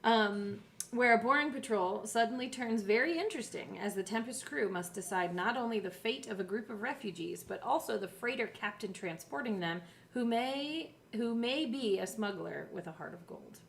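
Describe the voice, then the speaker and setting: A woman's voice: fairly high pitch, animated, gravelly, a bit bright, slight background noise. A woman hosting a casual podcast, chatting readily and easily with co-hosts and reading out bits of summary. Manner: chatty, quick to laugh. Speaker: podcast host reading episode summaries and asking questions. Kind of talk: casual chat with read-out summaries.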